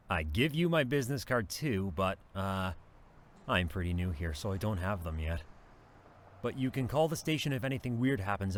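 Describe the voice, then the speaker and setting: A man's voice: lowish voice, a little hiss or hum, lightly acted. A man acting in an audio drama as a courteous, earnest young police officer who speaks politely and reassuringly.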